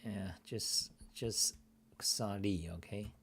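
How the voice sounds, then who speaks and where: Middle-aged male host, deep and unhurried, rambling, profane, grumbling. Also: steady tone, slight background noise. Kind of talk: casual screen-share talk show. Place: home desk, indoors.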